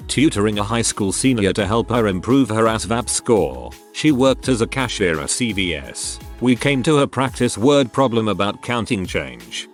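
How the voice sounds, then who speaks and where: A man with a lowish voice reads short stories aloud in a steady, scripted narration. His delivery is lively in pitch and carries dry asides. Faint music plays underneath.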